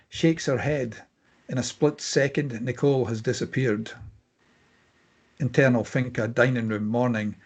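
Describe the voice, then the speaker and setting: A man with a husky voice reading scene headings and stage directions as scripted narration at a table read. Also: medium pitch.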